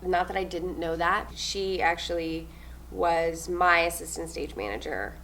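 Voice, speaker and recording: A young adult woman from New Zealand, a stage manager answering interview questions in a loud, upbeat way, often laughing. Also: lowish voice, unhurried, slight background noise.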